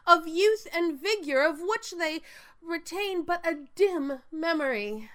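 A woman acts out a mature stage diva with a high, swooping voice and a bright, biting edge. She is sardonic and grandly dramatic, in radio play style, with faint music behind her. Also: animated, takes it slowly, heavily acted. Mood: scornful. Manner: sardonic, declamatory. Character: theatrical sharp-tongued mature stage actress, biting and dramatic. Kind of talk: audio drama acting.

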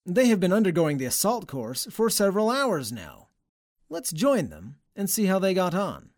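An actor playing a theatrical storybook narrator, delivering dramatic scripted narration for an audio drama. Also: male voice, sits high, huge pitch swings, moderate pace. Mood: grand, knowing. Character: theatrical storybook narrator of a mock spy tale.

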